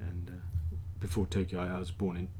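Brief: man, 40s, deep voice, halting and thoughtful potter interviewee, faint background noise. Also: completely flat delivery, warm tone. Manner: halting, thoughtful, many restarts. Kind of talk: casual interview answers. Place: outdoors by a kiln.

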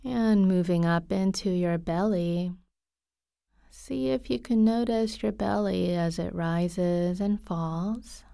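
Slow, soft-spoken woman guiding meditation. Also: on the low side, vocal fry, clear, resonant tone, no echo, clean studio sound. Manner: soft, calm, unhurried, with frequent pauses. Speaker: guided meditation teacher. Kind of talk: guided body scan meditation.

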